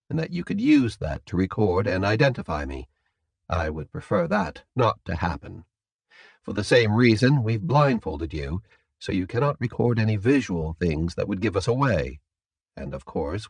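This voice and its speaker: A man voicing a calm, courteous, businesslike captor in an audiobook, speaking in a polite, measured, formal way. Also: fairly low pitch, creaky, no room sound, full character voice. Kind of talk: audiobook character dialogue.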